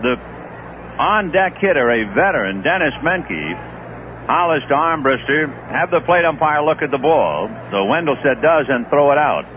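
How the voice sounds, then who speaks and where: A man calling a baseball game live on radio, with relaxed, conversational play-by-play and chatty asides. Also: on the high side, normal speed. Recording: dull old radio broadcast with noise.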